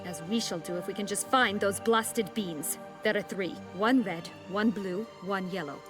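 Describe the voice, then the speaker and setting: An actress playing a proud, bitter exiled noblewoman in a video game, with haughty, formal, measured speech. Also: medium pitch, over music, lightly acted. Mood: brisk, imperious.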